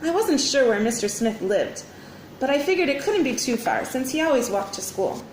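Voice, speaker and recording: Female read-aloud storyteller for kids, bright-eyed teacher delivery, highish voice, dramatic phrasing, echoey room. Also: big pitch swings, moderate pace. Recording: roomy echo, faint background noise.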